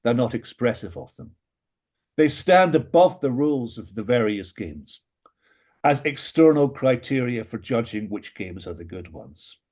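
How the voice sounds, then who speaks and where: Measured British man lecturing with dry asides. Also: mid-range voice, expressive, darkish tone. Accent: British English. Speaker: panelist in an academic book discussion. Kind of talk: prepared philosophy talk.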